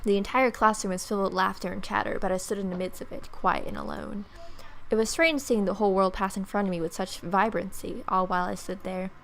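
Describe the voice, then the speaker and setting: A woman's voice: medium pitch, steady tone, a little fast, gravelly, a bit bright, a little hiss or hum, rough recording. A young woman reading visual novel narration aloud as a let's player. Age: young adult. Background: game music.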